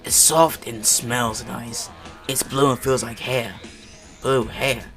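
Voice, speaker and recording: A man voicing a blunt, stern, bossy male bassist in a fan fiction reading. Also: steady tone, slow, fairly bright tone. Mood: baffled. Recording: music playing behind the voice.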